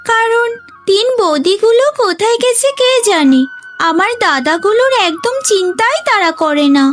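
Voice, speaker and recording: A woman's voice: very high voice, expressive, moderate pace. A woman playing a scheming, self-pitying young sister in a melodramatic cartoon, with a plaintive, theatrical delivery. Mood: sweetly feigned. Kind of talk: melodramatic Bengali cartoon dialogue. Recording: music behind the voice.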